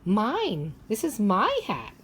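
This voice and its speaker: A woman's voice: mid-range voice, huge pitch swings, slow pace, fairly bright tone, slight background noise, heavily acted. A woman voicing a grumpy old man from a children's storybook in a curt, exclaiming way.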